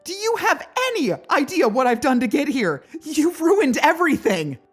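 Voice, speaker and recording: A woman's voice: high voice, very animated, normal speed, voice pushed up. A woman voicing a hot-tempered young woman fighter in a fantasy audiobook, panicked and furious, with a shaky voice that cracks. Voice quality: shaky, cracking when upset. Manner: frantic, dramatic outbursts. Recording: background music behind the voice.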